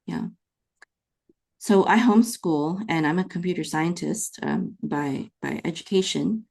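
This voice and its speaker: A woman's voice: fairly low pitch, unhurried, vocal fry, a little mellow. A woman with a Californian American accent hosting a conversational podcast interview, explaining her opinions. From California, United States, born in the Philippines. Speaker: podcast host.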